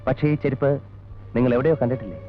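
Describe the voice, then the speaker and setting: Casting: man playing a fast-talking, insistent detective, formal and stern, dull old film sound with music. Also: medium pitch, close to an everyday voice. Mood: probing, firm. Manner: formal, insistent, mixing in English words. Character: stern, methodical male police investigator questioning suspects. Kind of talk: 1980s Malayalam film acting.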